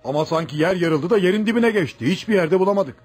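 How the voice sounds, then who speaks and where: An actor playing a calm, probing gendarme station commander in a Turkish radio play, measured and unimpressed. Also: male voice, sits high, husky. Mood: skeptical calm. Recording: faint music behind radio drama.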